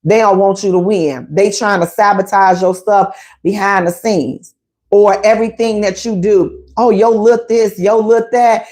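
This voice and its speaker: A woman's voice: medium pitch, fairly even intonation, a little slow, darkish tone. A woman, hosting a casual relationship advice talk, emphatic and conversational, repeating her points.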